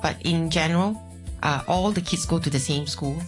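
A woman with a Malaysian accent answers as an interview guest in a very low voice. She talks in a chatty, plain way. Soft music sits faintly behind her. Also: normal speed. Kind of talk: conversational interview.

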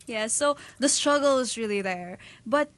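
A woman's voice: on the high side, expressive, normal speed, a bit bright, no echo. A woman co-hosting a podcast, asking questions and reacting with frequent little acknowledgements. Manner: friendly questioning, many backchannel sounds. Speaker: podcast co-host. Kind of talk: casual podcast interviewing.

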